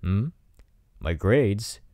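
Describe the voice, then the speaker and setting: A man acts out a teenage bad boy in an intimate ASMR roleplay. His voice is low and swings widely in pitch as he taunts and teases with smug confidence. The sound is close and dry. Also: expressive, mellow, no room sound. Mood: smug, teasing. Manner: taunting, smug, drawn-out teasing delivery. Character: cocky teasing teenage delinquent bad boy student.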